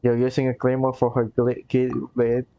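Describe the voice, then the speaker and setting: A man's voice: mid-range voice, completely flat delivery, fairly quick, creaky, no room sound, natural voice. A man reading as a curious young traveler. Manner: plain reading aloud. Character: the player's own character, curious young traveler. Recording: dull, lo-fi recording.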